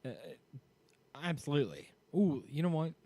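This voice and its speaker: A man's voice: fairly high pitch, sing-song, normal speed, warm tone. A man in casual podcast chat, laid-back and profane, laughing along. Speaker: podcast co-host.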